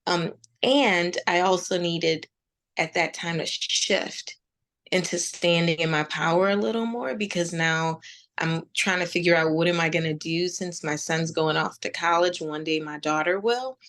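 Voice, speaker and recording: Lower-voiced woman, reflective and halting. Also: steady tone, fairly bright tone. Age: 40s. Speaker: meditation teacher, podcast guest. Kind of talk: conversational interview storytelling.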